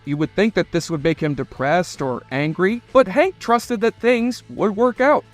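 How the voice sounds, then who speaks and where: Higher-pitched man narrating a retrospective. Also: moderate pace. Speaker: narrator of a video documentary. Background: faint music.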